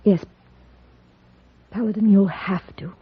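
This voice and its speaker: An actress playing a weary, dutiful, determined frontier doctor in an old radio western. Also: female voice, mid-range voice, steady tone, slow, noisy background, close to an everyday voice. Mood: grave, urgent. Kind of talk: 1950s radio western acting. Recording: dull old radio recording.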